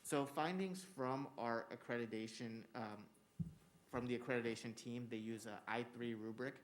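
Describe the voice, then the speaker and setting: A man who is a school district administrator, giving a formal report to a school board in an earnest, steady way with many filler words. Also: mid-range voice, moderate pace, a little hiss or hum. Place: public school board meeting room.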